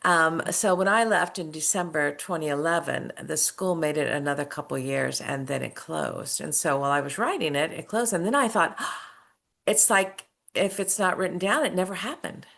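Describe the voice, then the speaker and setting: A woman's voice: low voice, normal speed, vocal fry, a bit bright. A middle-aged woman, an author and former school principal, telling her story earnestly in a casual interview. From United States.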